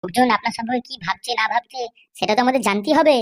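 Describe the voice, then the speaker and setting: Woman doing a scheming cartoon sidekick lady, high voice, talks super fast, eager and pushy. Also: lightly acted. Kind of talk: comedy cartoon dialogue.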